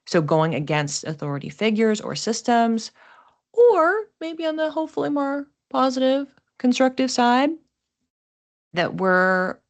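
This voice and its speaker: A woman with a low-pitched voice talks through an astrological forecast as its host. Her delivery is conversational and explanatory, and she slips into quoting imagined reactions as she goes. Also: gravelly. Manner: casual, explanatory, acts out imagined thoughts.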